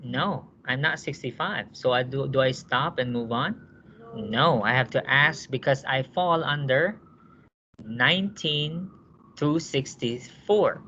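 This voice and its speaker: A man's voice: medium pitch, slow pace, sharp tone, a little hiss or hum. A man teaching a nursing class, walking students through cases with rhetorical questions and checking that they understand. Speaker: nursing instructor. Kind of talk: classroom lecture. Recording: dull lo-fi classroom recording.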